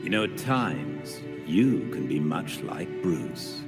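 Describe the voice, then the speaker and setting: An actor playing a refined, measured older butler and gentle mentor in a video game cutscene. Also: male voice, low voice, big pitch swings, loud music behind the voice, exaggerated voice. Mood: gently chiding. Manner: formal, measured.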